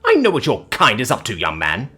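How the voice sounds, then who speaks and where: A man's voice: medium pitch, wildly swooping pitch, brisk, bright, forceful tone, breathy edge, soft background music, heavily acted. A man voicing a haughty, indignant noblewoman in an audiobook, pompous and admonishing in manner. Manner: pompous, admonishing, ornate speech. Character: haughty, indignant, scolding noblewoman, a snobbish busybody.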